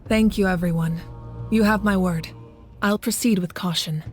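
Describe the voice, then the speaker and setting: A woman playing a resolute young female leader in an anime game, speaking in a measured, authoritative way. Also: medium pitch, fairly even intonation, darkish tone, natural voice. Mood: grateful, assured. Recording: music under the voice. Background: game music.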